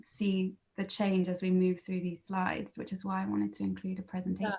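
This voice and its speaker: A woman hosts an interview over a video call, her voice gravelly with fry. She talks hesitantly, with frequent ums between phrases. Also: medium pitch, monotone, normal speed, a little mellow. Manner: hesitant, many fillers. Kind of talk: hosting an interview.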